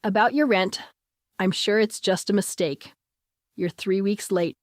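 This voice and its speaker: A woman's voice: mid-range voice, a little slow, no echo, close to an everyday voice. A woman acts out a nagging building manager, a man chasing what he is owed in an audiobook. The sound is close and dry. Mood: insistent.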